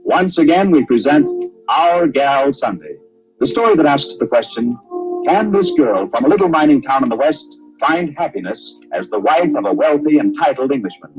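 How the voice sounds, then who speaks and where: A man announces a daytime serial in scripted 1940s radio style. His voice sits at a middling pitch on a dull vintage recording, with music playing behind him. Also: expressive, moderate pace.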